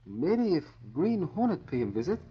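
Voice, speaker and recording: Deferential manservant, high and swooping voice, broken English, polite, old-time radio serial. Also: male voice, big pitch swings, moderate pace, husky, heavily acted. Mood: deferential, curious. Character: loyal, deferential Filipino valet and accomplice. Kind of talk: 1940s radio acting. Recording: muffled old radio recording.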